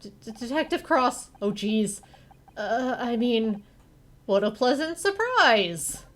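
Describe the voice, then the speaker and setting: A woman's voice: fairly high pitch, very animated, slow pace, a bit bright, pitched above the performer's own voice, full character voice. A woman voicing a nervous, bumbling male security guard who is eager, flustered and stammering in a video game.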